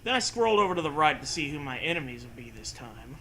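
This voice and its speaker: A man's voice: fairly high pitch, very animated, fast talker, fairly bright tone, a little hiss or hum. A man co-hosting a show, reading a story aloud in mock-serious style with sarcastic, profane asides.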